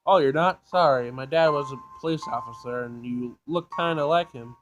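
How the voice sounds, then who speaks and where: A man's voice: medium pitch, moderate pace. A man hosting a let's play, reading game text aloud expressively, stumbling and rereading, and adding his own commentary. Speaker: gaming video host. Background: game music.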